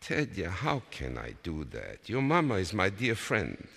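A man's voice: sits low, wildly swooping pitch, unhurried, vocal fry, a bit bright, husky, voice pushed up, exaggerated voice. A man voicing a kindly, polite and dramatic widowed neighbour woman in a story told aloud. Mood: doubtful. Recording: long reverberant hall.

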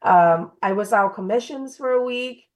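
A woman's voice: mid-range voice, moderate pace. A woman in her thirties from Thailand, a company founder telling her story in an interview, earnest with many fillers and restarts. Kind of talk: conversational interview.

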